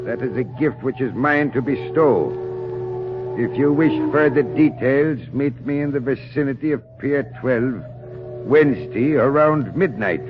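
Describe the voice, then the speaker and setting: A man's voice: medium pitch, measured pace, lightly acted. An actor playing a hearty, talkative Irish policeman in a folksy, blustering manner in a 1940s radio drama. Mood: suspicious. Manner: folksy, roundabout, blustering but good-natured. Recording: muffled old radio broadcast with music.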